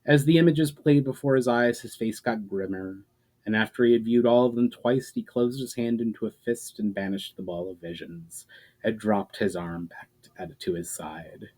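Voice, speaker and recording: A man's voice: fairly low pitch, fairly even intonation, normal speed, a little mellow, poor audio. Male author reading and presenting fantasy. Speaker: author reading his own fantasy fiction. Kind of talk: dramatic scripted narration, casual sign-off.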